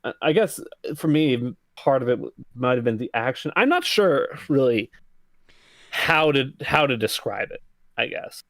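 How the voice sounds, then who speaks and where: A man's voice: mid-range voice, lively intonation, unhurried, a bit bright, dry recording. Easygoing male co-host, dry close mic. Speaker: podcast co-host. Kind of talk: casual podcast chat.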